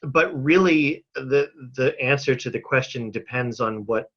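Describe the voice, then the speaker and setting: Bright-voiced man on a dull video call. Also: mid-range voice, a little slow. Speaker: interview guest, mental health advocate and filmmaker. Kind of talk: casual, earnest video-call conversation.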